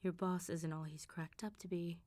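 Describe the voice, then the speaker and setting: A woman voicing a suave, menacing female vampire mob boss in an ASMR roleplay, politely teasing and threatening with unhurried control. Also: lowish voice, flat delivery, darkish tone, close to an everyday voice. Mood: probing, controlled.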